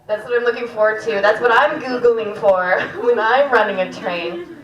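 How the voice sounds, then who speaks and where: A woman hosts a stand-up night with loose, casual banter. Her voice sits a little high. It carries from a distance with plenty of room echo. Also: normal speed, fairly bright tone. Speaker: comedy show host. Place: small live comedy room at a cafe. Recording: echoey room, distant stage microphone.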